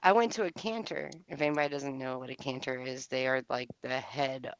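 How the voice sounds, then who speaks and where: Gravelly-voiced woman, animated storyteller. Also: a bass voice, fairly quick, no room sound, lo-fi sound. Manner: animated, laughs through her stories. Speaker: panelist. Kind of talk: casual livestream panel chat.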